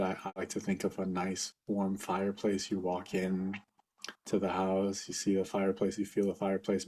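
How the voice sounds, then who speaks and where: A man leading a guided meditation for a group as facilitator, speaking informally in a gentle, reassuring, unhurried way. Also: low voice, slight background noise.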